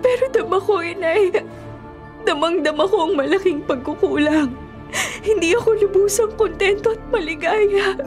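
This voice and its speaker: Over dramatic music, a very high-voiced young wife and mother pours out her anguish. She is torn by forbidden love, pleading and tearful in full radio-melodrama style. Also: moderate pace, a little mellow. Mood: tearful, insistent. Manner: emotional, pleading, tearful delivery.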